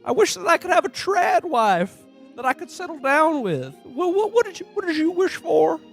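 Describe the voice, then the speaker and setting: Bashful naive everyman, acted by a man, stammering, halting, old-film radio parody, light music underneath. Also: extremely high pitch, sing-song, gravelly, exaggerated voice. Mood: bashful, eager. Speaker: podcast host playing himself. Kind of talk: parody of 1940s film acting in a radio play.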